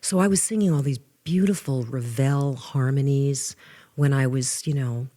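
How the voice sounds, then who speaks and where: Older New woman with a low voice. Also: normal speed. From New York. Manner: animated storyteller, restarts often. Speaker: guest musician. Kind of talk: casual interview.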